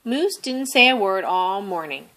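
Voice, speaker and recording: A woman reading a children's story aloud expressively, deliberately stressing phrases. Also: mid-range voice, expressive, pushed and bright. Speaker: storybook reader.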